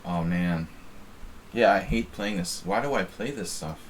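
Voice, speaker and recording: A man's voice: deep voice, a little slow, a little hiss or hum. An American man casually chatting while streaming online chess, rambling and self-deprecating as he reads the chat. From United States.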